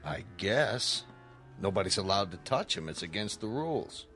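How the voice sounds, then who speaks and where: A plain-spoken museum guard. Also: male voice, on the low side, big pitch swings, moderate pace, sharp tone, soft background music, heavily acted. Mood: matter-of-fact. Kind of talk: radio drama acting.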